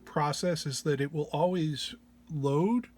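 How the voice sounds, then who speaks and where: A man who sounds under the weather gives an informal tutorial walk-through in a low-energy, hesitant, halting way. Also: darkish tone, soft background music. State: under the weather, often clearing throat. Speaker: presenter of a music effects patch walk-through.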